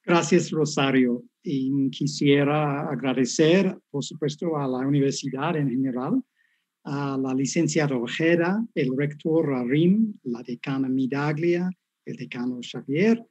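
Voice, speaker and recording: Brief: 50s man, English native speaking Spanish with street Mexican-Colombian touches, unhurried, mellow and slightly husky, video-call lecturer. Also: medium pitch, muffled recording. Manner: self-deprecating, chatty, frequent tag questions. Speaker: guest professor. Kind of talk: academic talk over video call.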